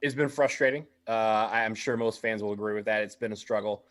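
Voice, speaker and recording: A man's voice: on the low side, brisk, a bit bright. A man talking frankly as a podcast guest and fan, in a conversational way. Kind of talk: casual chat.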